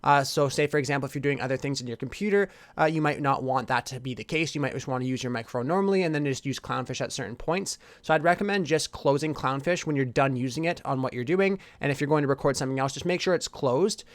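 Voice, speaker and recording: A man's voice: medium pitch, fast, few pauses, no room sound. A man hosting a computer tutorial, explaining things to viewers in an informal, reassuring way. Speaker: tutorial host. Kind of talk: casual PC tutorial narration.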